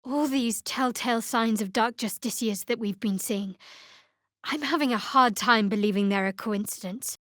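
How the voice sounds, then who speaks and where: A young cleric of a dark goddess in a fantasy game, played by a woman, speaks in a fairly high voice. She is guarded and sardonic, with a dry wit, and the sound is close and dry with very little room. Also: moderate pace, no echo, natural voice. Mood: dry, measured. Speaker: video game character.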